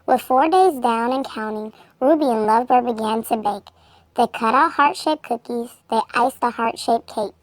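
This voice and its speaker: High-voiced woman reading a children's story. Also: normal speed, a little hiss or hum. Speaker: children's book reader. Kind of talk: storybook read-aloud narration.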